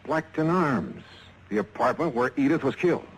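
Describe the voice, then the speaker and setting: An actor playing a tough, dry-witted insurance investigator in clipped 1950s radio detective style. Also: male voice, mid-range voice, animated, normal speed, breathy edge, low-quality recording. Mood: hardboiled, terse. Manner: clipped, terse, hardboiled. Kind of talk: 1950s hardboiled radio acting and first-person narration. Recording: vintage radio drama with music behind.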